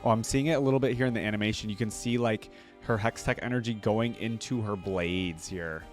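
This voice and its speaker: A man's voice: on the low side, a little fast. A man giving casual, enthusiastic reaction commentary as the host of a fan channel, speaking informally with slang. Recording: music playing behind the voice. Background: video game theme music.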